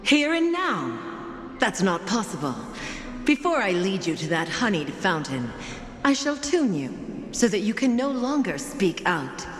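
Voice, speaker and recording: A woman's voice: mid-range voice, sing-song, a little slow. A woman playing an imperious, merciless female judge in a video game, speaking in solemn, grandiose, archaic phrases with echoing reverb. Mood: ominous, exalted. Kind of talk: scripted game voice acting, ceremonial monologue. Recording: echoey hall reverb under game music.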